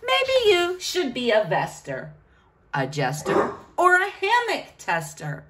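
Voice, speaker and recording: A woman's voice: high voice, wildly swooping pitch, slow pace, background noise. A woman reading a picture book aloud to children, with a sing-song, questioning delivery.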